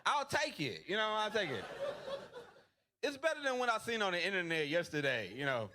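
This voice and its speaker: A man performing a stand-up comedy set, telling self-deprecating jokes in a deadpan way. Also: high-pitched, a little fast. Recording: noisy club recording.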